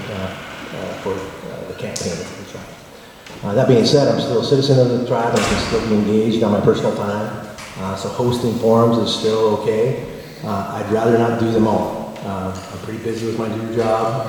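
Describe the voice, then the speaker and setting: A man hosting a community forum, talking in a low voice with many fillers and restarts, using a handheld mic in a large wood-paneled hall. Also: noisy background. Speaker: forum host. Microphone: handheld mic, heard from a far camera. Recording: echoey hall from far off.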